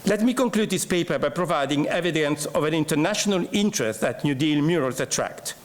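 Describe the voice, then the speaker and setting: A man's voice: fairly high pitch, lively intonation. Man reading a formal paper. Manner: formal, measured. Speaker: scholar presenting a paper.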